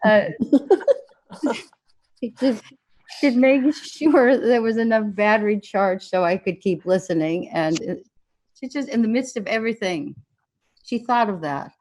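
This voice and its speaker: An actress playing a gentle woman back from a hospital stay, speaking in a halting, thoughtful, conversational way. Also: medium pitch, lightly acted. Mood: fond, halting.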